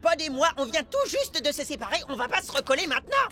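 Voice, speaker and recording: A woman voicing an excitable, easily exasperated preteen amoeba boy with a youthful voice in a French cartoon dub. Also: high-pitched, fast, noisy background. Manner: animated, exclamatory.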